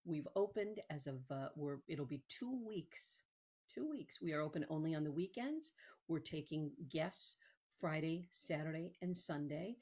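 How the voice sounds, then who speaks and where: Female presenter, slightly deep register, informal promotional talk with emphatic stresses. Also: lively intonation, measured pace, darkish tone, slightly rough. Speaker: host, museum executive director.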